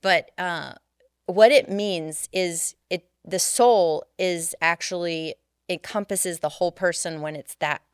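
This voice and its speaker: A middle-aged woman with a smooth, resonant voice that sits a little low, talking earnestly as a podcast co-host. She turns animated when telling a story. The sound is close and dry, from a studio mic. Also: slow pace, dry recording. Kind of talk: casual podcast chat.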